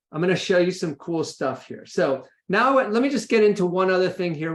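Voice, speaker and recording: A man's voice: sits high, fairly bright tone. An American man presenting an informal, practical session in a conversational way, often checking in with his listeners. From United States. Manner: conversational, checks in with "right?" and "okay?". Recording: echoey room sound.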